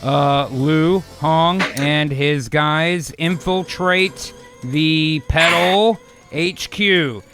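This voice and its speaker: A man with a fairly high voice narrates an improvised radio drama as co-host. He is loose and casual, generally slow in his delivery, with faint music behind him.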